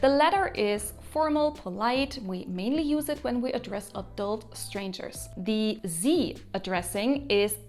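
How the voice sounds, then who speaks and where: A woman from Germany, German her first language, hosting a casual explainer to the camera in her thirties, lively and emphatic. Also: fairly high pitch, a little slow, few pauses. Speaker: educator and channel host.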